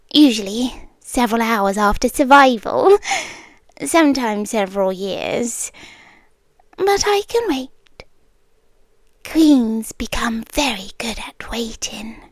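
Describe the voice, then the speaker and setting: A high, very slow British female voice, close and dry, a woman acting an inhuman desert queen in an ASMR roleplay. She is possessive and softly menacing, slipping between hushed speech and whispers with deliberate pauses. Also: dry recording, exaggerated voice. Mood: tender, possessive.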